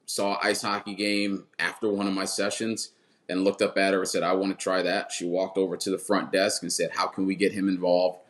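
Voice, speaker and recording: Man, 30s, podcast guest coach. Low voice, earnest, repeats words mid-thought. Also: level tone, fairly quick. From Washington, D.C. area. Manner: earnest, repeats words when building a point. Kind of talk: casual interview talk.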